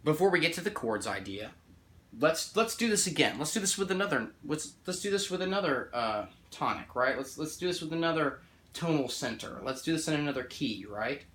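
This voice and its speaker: A man's voice: a little high, big pitch swings, a little fast, fairly bright tone. A man giving a casual music theory lesson. He often checks in with "right?" and restarts or corrects himself while counting out notes. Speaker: music teacher. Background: guitar playing along.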